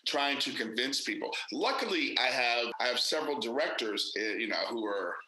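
Guy talking straight in an interview, real bright sharp tone, roomy echo, lots of ums. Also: medium pitch, expressive, normal speed. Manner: candid, emphatic, many fillers and restarts. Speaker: actor and director. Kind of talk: casual interview conversation.

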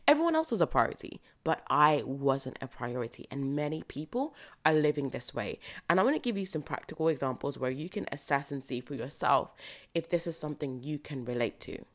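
A British woman hosting a solo podcast, slightly croaky from recording early in the morning, speaking in an earnest, motivational way and repeating phrases for emphasis. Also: low-pitched, very animated, fairly quick. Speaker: podcast host. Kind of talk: solo reflective podcast monologue.

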